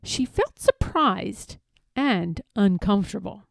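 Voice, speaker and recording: Expressive woman reading a children's story. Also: mid-range voice, slow, vocal fry, no echo.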